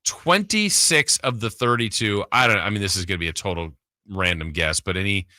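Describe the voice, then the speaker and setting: A man's voice: on the low side, brisk, bright, forceful tone, no echo, clean studio sound. A man hosting a sports radio show, talking casually and thinking aloud in a conversational way. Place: radio studio. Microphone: close broadcast studio mic.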